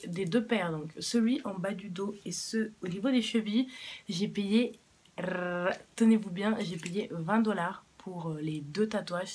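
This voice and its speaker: A woman's voice: mid-range voice, a little mellow, breathy edge. Young woman, chatty, frank, casual vlog talk to camera. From Cuba. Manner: chatty, frank, swears casually, many fillers. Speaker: vlogger.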